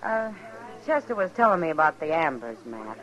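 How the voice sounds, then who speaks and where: A wry, worldly saloon woman of the Old West, frank and familiar. Her pitch moves around freely with folksy ease. It sounds like a dull vintage radio drama recording. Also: very animated, normal speed, crowd noise. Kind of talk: 1950s Western radio drama acting.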